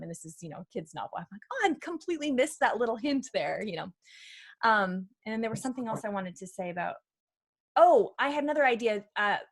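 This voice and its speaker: A woman talks as a guest expert in an informal panel conversation. She explains things in a chatty way with a mid-range voice, often slipping in imagined quotes to illustrate her advice. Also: mid-range voice. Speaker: guest expert on reading aloud with children.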